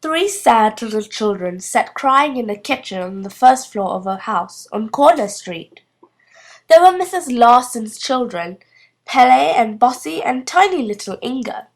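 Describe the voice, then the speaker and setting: High, very expressive woman storyteller. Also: measured pace. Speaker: storybook reader. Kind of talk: expressive children's story narration.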